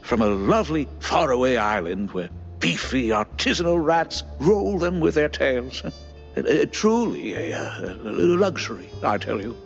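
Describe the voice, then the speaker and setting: A man voicing a nervous, bumbling, evasive schemer in a cartoon game, stammering and halting. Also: medium pitch, sing-song, gravelly, dull audio, faint music behind.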